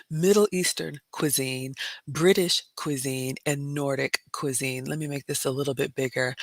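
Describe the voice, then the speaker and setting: A woman with a low, raspy, smoky voice, chatting casually on a livestream and reading out viewer comments. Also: no room sound. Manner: chatty, says "y'all" and "you all" often. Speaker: livestream host.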